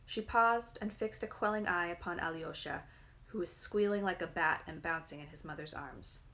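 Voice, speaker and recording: Lower-pitched woman reading a novel aloud. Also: moderate pace, sharp tone. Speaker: reader. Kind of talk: literary storybook reading aloud.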